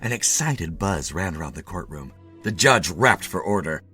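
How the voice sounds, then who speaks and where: A man giving an expressive, theatrical reading of a literary short story as its narrator. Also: low-pitched, moderate pace, husky, faint music behind.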